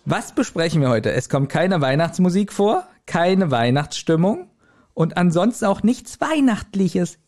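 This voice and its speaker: Slightly high-pitched podcast man. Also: very animated, unhurried, darkish tone. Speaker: podcast co-host. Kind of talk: casual chat.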